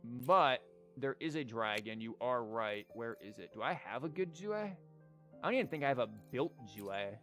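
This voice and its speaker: Man, casual game streamer, rambling commentary, thinking aloud, clear game music behind. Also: medium pitch, lively intonation, moderate pace, fairly bright tone. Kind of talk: casual live gameplay commentary and explanation. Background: video game music and character lines.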